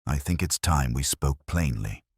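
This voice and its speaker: A man voicing a calm, shrewd, courteous police inspector who speaks quietly, deliberately and cryptically in a mystery story. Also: a bass voice, husky, no echo, clean studio sound, heavily acted. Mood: gentle, firm.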